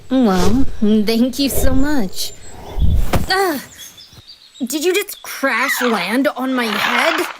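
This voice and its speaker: A woman's voice: sits high, drawn-out words, pauses often, noisy background, heavily acted. A woman playing a playful, easily flustered young male bard and doting single dad in a fan audio roleplay, speaking expressively with exasperated affection. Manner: expressive, exasperated yet affectionate, sighs and chuckles.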